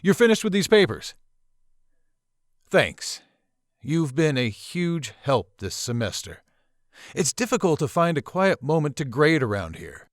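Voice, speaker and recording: A man acting a polite, probing, subtly ominous college professor in a visual novel, speaking in a measured, formal, theatrical way. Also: on the high side, sing-song, no room sound, clean studio sound. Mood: cordial. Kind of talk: visual novel character dialogue.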